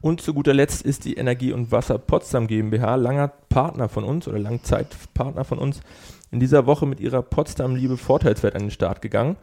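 A man co-hosting a club podcast, reading sponsor and club news in a steady way with small slips. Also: medium pitch, a little fast, vocal fry. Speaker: podcast co-host.

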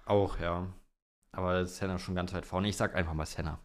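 A young man with a fairly low voice speaks as a podcast co-host. He chats casually and colloquially, with an easygoing manner, as he takes listener questions. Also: fairly even intonation, fairly quick.

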